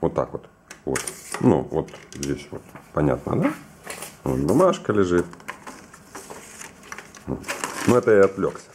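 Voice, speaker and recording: A man's voice: low-pitched, huge pitch swings, slow, long pauses, a little mellow, a little hiss or hum. A man casually giving a hands-on tutorial and telling stories, playfully repeating phrases for comic effect. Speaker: printer repair technician giving advice. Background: background music with guitar.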